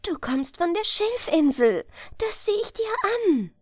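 Woman voicing a high, yearning fairy-tale girl. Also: sing-song, a little slow, a little mellow, no echo, full character voice. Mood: tender wonder. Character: wistful, curious fisherman's daughter, a young girl yearning for forbidden wonders. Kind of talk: fairy-tale audiobook character voice.

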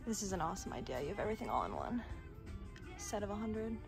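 A woman's voice: mid-range voice, very animated, fast, vocal fry. A young American woman giving chatty, appreciative shop-with-me commentary in a casual vlog style. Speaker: lifestyle vlogger. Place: inside a retail store. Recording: music over handheld store recording. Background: music.

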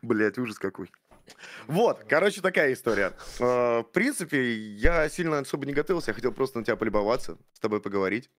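A man's voice: mid-range voice, very animated, fast talker, fairly bright tone. A man in his thirties on a video call, chatting in a mock-romantic, gallant way, full of fillers and hesitations. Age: 30s. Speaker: show contestant flirting with a guest. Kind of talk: playful mock-romantic chat on a stream. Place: streaming room with purple lights. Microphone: studio mic on arm, headphones.

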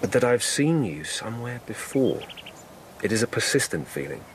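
A man's voice: mid-range voice, very animated, moderate pace, slight background noise, lightly acted, rough recording. An actor playing an earnest, probing police lieutenant in a radio drama, courteous and direct in his questioning. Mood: apologetic, intent.

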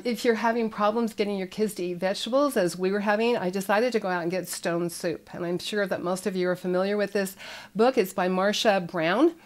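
An older woman hosting a parenting show, talking to camera in a friendly storytelling way, indoors in front of shelves of children's books. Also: medium pitch.

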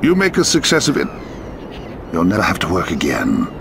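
An actor playing a weary, urbane old spymaster in a video game, musing theatrically and cryptically in drawn-out phrases. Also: male voice, sits low, huge pitch swings, gravelly, background noise, full character voice. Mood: solemn, cryptic. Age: older. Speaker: mysterious spymaster. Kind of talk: video game cutscene dialogue and narration.

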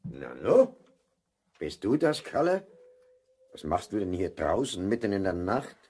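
A man's voice: low voice, sing-song, measured pace, darkish tone, husky, full character voice. An actor playing a kindly, avuncular policeman on night patrol in a 1970s German children's radio play. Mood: surprised, kindly.

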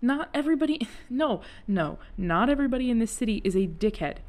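A woman playing a protective, exasperated friend in an intimate audio roleplay, speaking in a natural, halting, emphatic way. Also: a little high, expressive, a little mellow, lightly acted. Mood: exasperated, impassioned. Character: protective, exasperated friend secretly in love, driving someone home.

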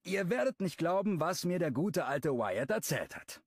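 A man voicing a furious, protective brother in an audiobook, speaking loudly and agitatedly. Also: fairly high pitch, sing-song, normal speed, voice pushed up. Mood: tense, urgent. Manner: loud, agitated, raised voice.

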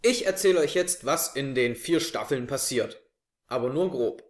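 A man's voice: mid-range voice, lively intonation, normal speed, soft background music. A young man hosting a scripted review to camera from his living room. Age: young adult. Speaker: host. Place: small living room, on a sofa. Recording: echoey room, camera mic.

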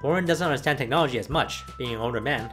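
Brief: man, channel narrator; brisk explanatory voice-over, faint music bed. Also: medium pitch, a bit bright. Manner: plain explanatory delivery. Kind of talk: scripted voice-over commentary. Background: background music.

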